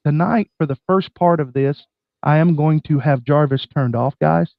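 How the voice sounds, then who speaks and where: A man's voice: a little high, normal speed, gravelly, no echo, narrow, tinny audio. Scratchy-voiced man hosting a live stream. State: bronchitis, scratchy voice. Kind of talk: casual live stream chat.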